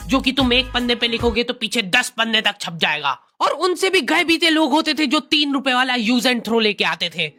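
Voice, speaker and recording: A woman's voice: on the high side, big pitch swings, moderate pace, hardly any pauses, pushed and bright. A woman narrating a comic cartoon story in casual slang, with exaggerated mock exasperation. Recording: music behind the voice. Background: faint music.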